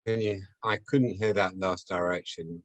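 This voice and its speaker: A man's voice: deep voice, moderate pace, no echo. A man, an actor at a table read, talking casually and a little hesitantly.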